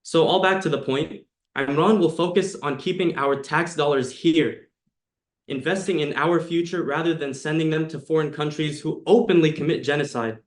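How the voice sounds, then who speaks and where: A man's voice: on the high side, moderate pace. Young adult male, American accent, sincere prepared endorsement, roomy echoing sound. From United States. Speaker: supporter giving an endorsement. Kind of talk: prepared speech, earnest testimonial.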